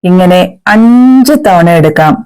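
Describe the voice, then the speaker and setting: A woman leading a guided meditation as a teacher, in a measured, soothing manner with pauses. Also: lowish voice, animated, mellow, clean tone.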